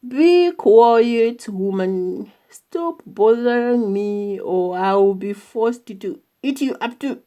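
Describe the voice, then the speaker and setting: Woman voicing a slow, mean, lazy storybook elephant. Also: on the high side, lively intonation, dark tone. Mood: threatening. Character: huge, lazy, mean, bullying male elephant.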